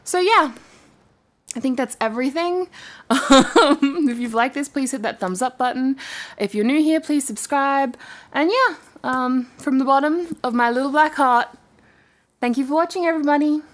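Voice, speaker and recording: Playful giggly woman reading stories for her channel, kinda high voice, casual. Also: moderate pace. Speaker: host of a story-reading channel. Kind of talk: casual storytelling to camera.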